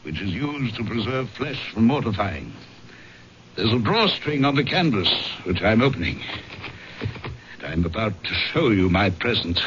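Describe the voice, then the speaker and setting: An actor playing a tormented, darkly sardonic aristocrat in an old-time radio drama, speaking in a formal, accusing way. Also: male voice, fairly low pitch, lively intonation, moderate pace. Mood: grim dread. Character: bitter, tormented aristocrat betrayed by his wife, darkly sardonic. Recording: muffled, noisy old radio broadcast.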